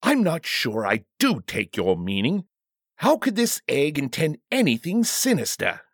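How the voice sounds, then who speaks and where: Storybook king, acted by a male reader, flustered, fussy, pleading, raised pitch, animated. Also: unhurried, husky, dry recording, clean studio sound, heavily acted. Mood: puzzled.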